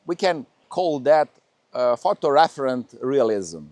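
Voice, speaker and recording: A man's voice: medium pitch, animated, a little mellow, no room sound. Serbian painter, male, hesitant and searching for words, mid-range voice, dry recording. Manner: hesitant, halting non-native English with many fillers. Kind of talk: interview conversation.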